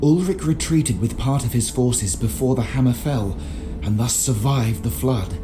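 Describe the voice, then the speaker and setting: An actor playing a solemn medieval chronicler narrating a game's campaign journal in a grave, measured way. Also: male voice, mid-range voice, lively intonation, close to an everyday voice. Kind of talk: scripted dramatic game narration. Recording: music behind narration.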